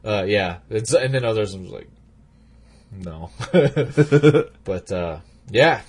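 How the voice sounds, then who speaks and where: A man's voice: lowish voice, lively intonation, takes it slowly, husky. Rambling, laughing male podcast host. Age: adult, past high school. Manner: rambling, laughs often, many likes and restarts. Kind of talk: casual chat.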